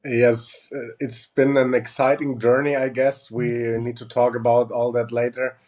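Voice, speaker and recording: A man's voice: mid-range voice, little pitch movement, normal speed, a little mellow. A German man hosting an interview in English, asking friendly, hesitant questions. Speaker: interviewer, host. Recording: dull lo-fi sound.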